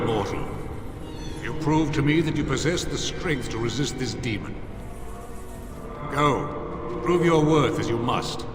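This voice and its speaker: A haughty spirit of valor from a fantasy game, declaiming in formal, sweeping tones. His stern voice swells and drops widely, wrapped in long reverb over loud noise. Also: medium pitch. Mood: stern approval. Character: proud, stern male warrior spirit of valor. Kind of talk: fantasy video game dialogue.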